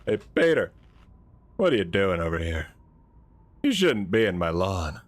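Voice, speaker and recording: A man voicing a gruff, grumpy middle-aged male cartoon neighbor who scolds loudly. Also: low-pitched, sing-song, unhurried, dry recording. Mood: scolding.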